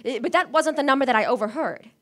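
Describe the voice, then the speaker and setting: A high, piercing voice of a neurotic, self-pitying wife in a suspense radio play. She is imperious and fretful, stumbling over words as she demands help with sharp impatience. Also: fast. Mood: anxious, flustered. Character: nervous, imperious, self-pitying invalid wife, easily exasperated. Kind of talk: old-fashioned suspense radio play acting. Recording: live audio theater stage recording.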